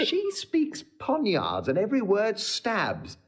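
An actor playing a witty, proud bachelor lord in a theatrical, blustering Shakespearean style. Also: male voice, sits high, wildly swooping pitch, takes it slowly, full character voice. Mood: comic outrage. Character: witty wounded-proud young bachelor lord, railing at a lady. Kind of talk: classical Shakespeare stage acting.

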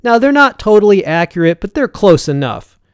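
A man's voice: high voice, big pitch swings, brisk, clear, resonant tone, dry recording, studio quality. A man in his forties talking casually to camera from his home gym, blunt and conversational, often tagging his points with "right?". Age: 40s. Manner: blunt, conversational, frequent "right?" check-ins. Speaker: fitness YouTuber.

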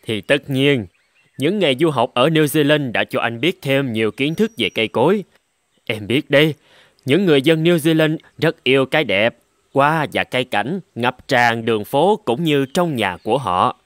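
An actor playing a smug, boastful, condescending young male rival in an animated fairy tale. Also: mid-range voice, moderate pace, dry recording, natural voice. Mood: suave, showing off. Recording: background music under the dialogue.

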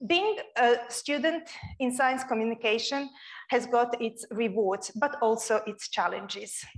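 Expressive woman from Croatia lecturing. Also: on the high side, measured pace. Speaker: guest speaker. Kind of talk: public lecture. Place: lecture room.

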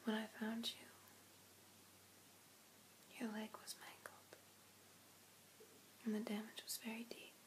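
A woman's voice: fairly high pitch, completely flat delivery, rough recording. Whispering young female vampire, acted by a woman. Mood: solemn, intimate. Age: young adult. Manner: slow, hushed, deliberate, with pauses. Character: gentle, apologetic female vampire rescuer, ancient but youthful. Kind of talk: ASMR roleplay, whispered monologue to listener. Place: small room indoors. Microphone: phone or webcam at arm's length.

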